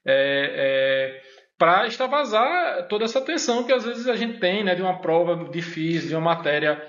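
A man's voice: sits high, big pitch swings, moderate pace, fairly bright tone. A man speaking with a northeastern Brazilian accent, a professor and podcast guest chatting casually, hesitant and full of fillers, often ending with a questioning "né?". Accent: Brazilian Portuguese, northeastern. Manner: hesitant, many fillers and repeated "né?". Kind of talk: casual interview chat. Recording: echoey room, dull lo-fi sound.

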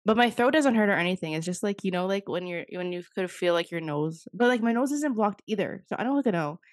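A woman's voice: mid-range voice, expressive, quick pace, no echo, clean studio sound. A young woman co-hosting a podcast in casual chat. She rambles, fills every phrase with "like" and swears freely, and she sounds slightly under the weather. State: slightly sick, voice a bit off. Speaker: podcast co-host.

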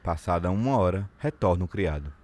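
Low-voiced male story narrator. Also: lively intonation, fairly quick, background noise. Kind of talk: scripted literary narration for audio drama.

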